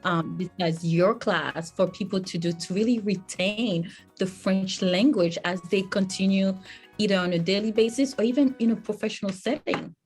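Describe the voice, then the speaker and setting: A woman's voice: medium pitch, moderate pace, darkish tone, husky, faint music behind. Enthusiastic woman interviewer. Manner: enthusiastic, effusive, laughs a lot. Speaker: interviewer, host. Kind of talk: casual interview chat.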